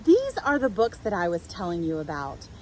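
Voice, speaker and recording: A woman hosting a children's storytime outdoors, telling things in simple, deliberate phrases for small children. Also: fairly low pitch, huge pitch swings, a little mellow, rough recording. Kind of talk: storytelling and guided talk for young children. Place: outdoors in a public park. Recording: outdoor park with faint background noise.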